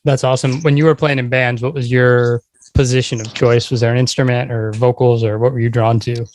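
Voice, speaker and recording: A man's voice: mid-range voice, little pitch movement, a little fast, no room sound, rough recording. Conversational male podcast host, dry close sound. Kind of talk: casual conversational podcast hosting.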